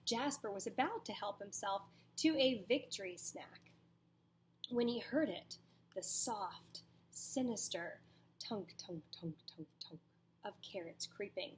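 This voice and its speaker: A woman's voice: mid-range voice, slight background noise. A woman teacher reading a storybook aloud to children expressively, with dramatic emphasis and asides to her listeners. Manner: dramatic pauses, emphatic, questions to young listeners.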